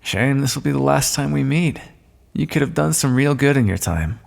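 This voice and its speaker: Man acting as sly gloating male thief, gravelly, mid-pitched, mocking courtly manner, theatrical audio roleplay, drawn-out emphasis. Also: lively intonation. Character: smug, sardonic male thief, gloating at a fallen monarch. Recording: long echoing reverb.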